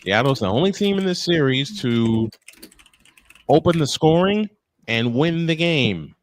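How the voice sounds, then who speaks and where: A man's voice: a little high, expressive, measured pace. A man calling a baseball game live as play-by-play host, slipping between commentary and casual chat.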